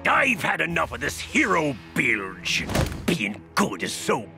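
A theatrical, impatient sea-raider villain from a video game. The voice is fairly high and very animated, with drawn-out, salty delivery. Music plays behind it. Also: male voice, vocal fry, exaggerated voice. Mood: irritated. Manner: theatrical, seafaring slang. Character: piratical sea villain, brash, impatient man.

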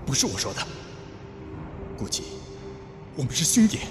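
Guy playing young spirit hunter begging to be believed, wildly animated, big echo, radio drama. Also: medium pitch, normal speed, natural voice. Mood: desperate pleading. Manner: earnest, pleading. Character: desperate, pleading young male spirit hunter. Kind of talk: Chinese costume radio drama acting. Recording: echoey hall, music behind.

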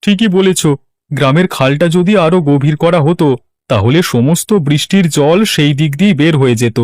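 A man's voice: fairly high pitch, normal speed, a little mellow, dry recording, close to an everyday voice. A man voicing an earnest, worried village farmer in a cartoon, complaining plainly. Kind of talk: Bengali cartoon dialogue.

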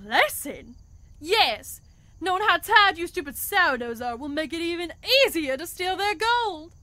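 Woman as a high, scheming cartoon duck lady. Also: big pitch swings, unhurried, sharp tone, full character voice. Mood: scornful. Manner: theatrical, scornful, exclamatory. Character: greedy, scheming, feisty female duck saloon singer. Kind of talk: fan comic dub acting. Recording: roomy, distant home recording over music.

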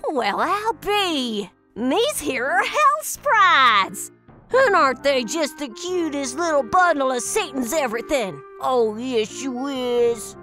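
A woman's voice: high voice, animated, slow, pushed and bright, soft background music, heavily acted. A woman playing a brash, folksy creature with an exuberant manner in a cartoon dub. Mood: delighted.

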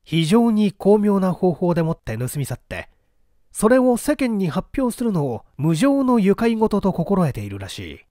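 A man's voice: high voice, very animated, normal speed, mellow, dry recording, studio quality. A man reading an old mystery novel aloud as dramatic, theatrical narration. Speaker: audiobook reader.

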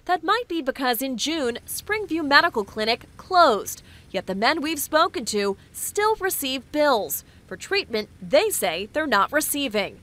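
Bright-voiced woman news reporter. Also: sits high, sing-song. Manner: measured, clear delivery. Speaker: investigative reporter. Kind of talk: scripted news narration.

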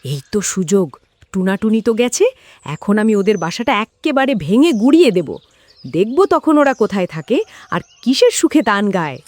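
Woman voicing a sly jealous cartoon crow, very lively. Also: medium pitch, moderate pace, no room sound, pitched below the performer's own voice, close to an everyday voice. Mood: gloating. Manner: sly, gloating, falsely friendly. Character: jealous, scheming, arrogant crow, feigning sweetness. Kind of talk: cartoon character voice in a moral tale.

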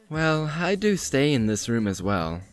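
A man playing a young male vampire, hot-tempered yet tender, in an animated roleplay drama. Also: a little high, exaggerated voice.

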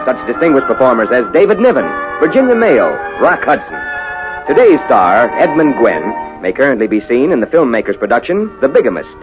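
A man's voice: medium pitch, sing-song, fairly quick, music in the background. An American man announcing and narrating in a polished, emphatic 1950s radio style. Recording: muffled 1950s radio recording.

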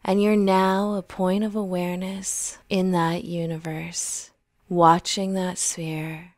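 A woman narrating a scripted guided meditation in a gentle, soothing, unhurried manner, softly trailing off at the ends of phrases. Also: fairly low pitch, level tone, no echo, studio quality.

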